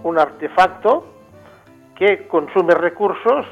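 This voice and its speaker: A man answers as a radio guest in a fairly high voice. He explains haltingly, repeating words as he searches for the next one, with faint music behind him. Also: animated, dull audio. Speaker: interview guest, agricultural engineer. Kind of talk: spontaneous interview answers. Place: remote call into a radio programme.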